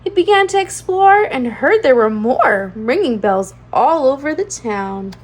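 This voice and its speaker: Animated high-pitched woman reading a storybook. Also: unhurried, slightly rough. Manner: singsong, rhyming cadence with expressive emphasis. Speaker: children's read-aloud channel host. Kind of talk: animated storybook read-aloud for young children.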